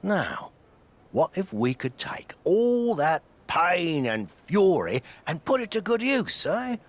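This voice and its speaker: A man voicing a jovial, persuasive pitchman in a wrestling video game, with a theatrical, lilting delivery. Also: sing-song, takes it slowly, exaggerated voice. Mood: coaxing, enthusiastic.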